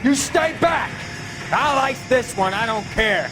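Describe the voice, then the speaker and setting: A bitter, menacing American crook in a crime game. His voice is high for a man and has a sneering edge, with clear music behind it. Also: lively intonation, slow pace, exaggerated voice, poor audio. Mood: desperate, threatening. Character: betrayed, bitter small-time killer cornered with a gun. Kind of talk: dramatic video game acting.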